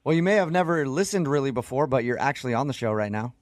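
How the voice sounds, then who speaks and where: A man's voice: mid-range voice, animated, quick pace. A man hosting a radio show segment, chatting with a caller on the phone from a studio microphone. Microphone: close studio radio microphone.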